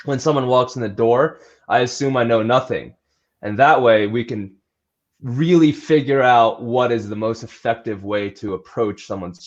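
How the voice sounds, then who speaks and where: A man with an average-pitched voice talks casually as a coach being interviewed. He is earnest and conversational. The recording is slightly dull and lo-fi. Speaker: athletic trainer and strength coach being interviewed.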